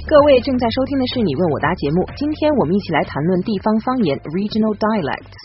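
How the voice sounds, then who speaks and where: Lively, quick-talking woman. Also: mid-range voice. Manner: brisk, lively explaining. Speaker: co-host and translator. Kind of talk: bilingual educational radio presenting. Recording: muffled broadcast audio with faint music bed.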